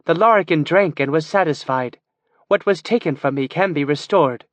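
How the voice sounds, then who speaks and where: A man's voice: on the high side, normal speed, no echo, voice pushed up, lightly acted. Kiva, a man voicing a stern elf sorceress. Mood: proud. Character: proud, stern female elf wizard.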